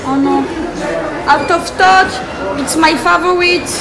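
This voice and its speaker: A young woman from France, vlogging casually as she shops and chats, switching into halting broken English. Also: very high-pitched, crowd noise, low-quality recording. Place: busy indoor shops.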